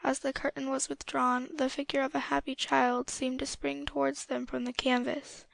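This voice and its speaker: High-pitched, creaky woman storyteller. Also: flat delivery, normal speed, dry recording. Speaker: audiobook narrator. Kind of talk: scripted story narration.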